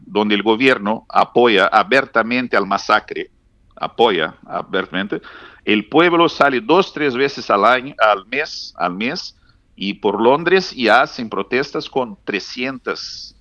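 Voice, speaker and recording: Man speaking halting Spanish as a second language. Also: mid-range voice, sharp tone, no echo, lo-fi sound. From Palestinian community. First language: not Spanish. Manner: hesitant, restarts often, speaks with urgent conviction. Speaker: interview guest. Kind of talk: halting interview answers.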